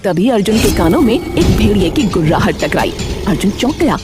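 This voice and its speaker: A woman's voice: medium pitch, big pitch swings, a little fast. A woman reading scripted narration for a cartoon story as its storyteller. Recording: music behind the voice.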